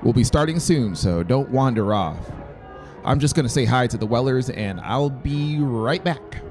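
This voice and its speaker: A man voicing a firm, reassuring middle-aged father in an audiobook, speaking in a measured, persuasive way. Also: mid-range voice, animated, darkish tone, faint music behind, pitched above the performer's own voice, lightly acted. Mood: brisk.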